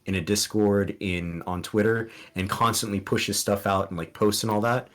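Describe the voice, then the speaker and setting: A man's voice: on the low side, steady tone, normal speed. A man whose first language is Spanish, a streamer guest on a podcast giving earnest, rambling advice with many restarts and ums. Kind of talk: casual podcast interview.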